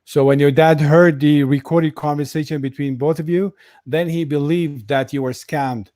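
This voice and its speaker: A man's voice: a little high, steady tone, moderate pace, dark tone, no room sound, clean studio sound. A man hosting a casual live interview, restating and recapping what he is told. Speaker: interview host.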